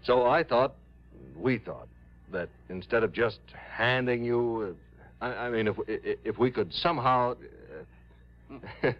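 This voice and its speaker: A man's voice: medium pitch, close to an everyday voice. An actor playing a genial, bossy American insurance man, speaking in an emphatic, informal way in vintage radio drama style. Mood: sentimental, halting. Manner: hearty, emphatic, halting when sentimental. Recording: dull old radio broadcast.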